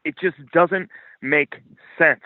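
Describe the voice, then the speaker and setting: A man's voice: on the high side, takes it slowly, no room sound. Phone-line man, blunt and emphatic. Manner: blunt, profane, emphatic, repeats points. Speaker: podcast guest, financial commentator. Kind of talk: opinionated interview commentary. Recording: thin phone-like line, dry.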